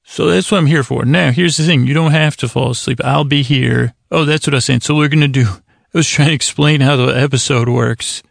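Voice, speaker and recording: A man hosting a bedtime podcast in a casual, meandering monologue, halting, stammering, repeating himself and gently self-deprecating. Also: mid-range voice, creaky, no room sound, clean studio sound. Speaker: podcast host.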